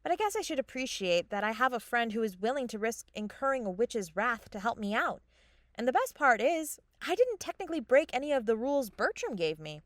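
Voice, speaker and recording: A woman's voice: fairly high pitch, sing-song, fairly quick. A woman playing an eager young fairy on a quest in a fantasy comedy audio drama. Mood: confiding. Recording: outdoor nature sound effects behind voice.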